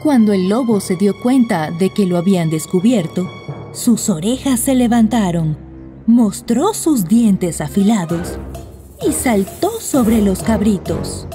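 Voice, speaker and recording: A woman narrates a children's bedtime story in a mellow voice. Her delivery is lively in pitch, with faint music underneath. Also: measured pace, long reverb tail.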